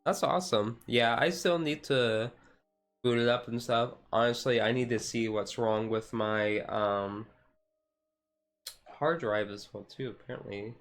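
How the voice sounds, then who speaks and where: A man streamer chatting casually in a chill, low-key livestream, rambling along with hesitant pauses. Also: fairly bright tone. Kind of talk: casual chat, ASMR-style chill livestream.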